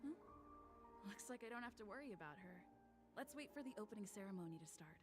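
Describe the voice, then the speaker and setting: An actress playing a calm young woman musing to herself in a video game. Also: medium pitch, quick pace, music in the background, natural voice.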